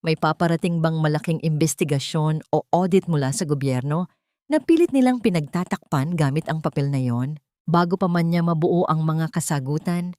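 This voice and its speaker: A Filipino woman narrates a dramatic audiobook story. Her voice sits fairly low, and the recording is dry and close, with little room sound. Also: normal speed, warm tone, no echo.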